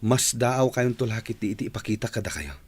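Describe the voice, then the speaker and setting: A man playing a flustered, insistent engineer in a radio drama, speaking haltingly and dramatically with an Ilocano accent. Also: mid-range voice, lively intonation, a little mellow, husky. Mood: urgent, insistent.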